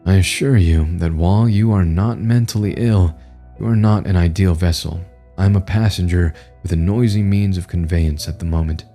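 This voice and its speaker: A cold, precise inhuman entity speaks with quiet contempt, put on by a male narrator. The voice sits very low and mellow. Faint music plays behind it. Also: moderate pace. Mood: cold commanding. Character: cold calm male parasite entity, formal and commanding.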